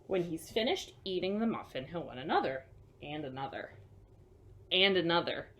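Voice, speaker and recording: A woman reading a picture book to children as a storyteller, expressive, with chatty, simple asides to young listeners. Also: on the low side, normal speed, a little hiss or hum.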